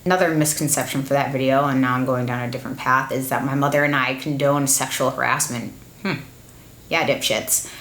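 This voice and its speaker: A young adult woman giving a blunt, monotone life update to her camera at home, with fillers along the way. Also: very deep voice, a little fast, reverberant, poor audio. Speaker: beauty YouTuber. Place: room at home, echoey. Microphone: camera with ring light.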